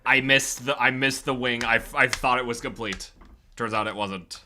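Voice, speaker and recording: Guy with a bright forceful voice, casually chatting on a group stream over video call. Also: mid-range voice, normal speed, a little hiss or hum. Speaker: livestream co-host.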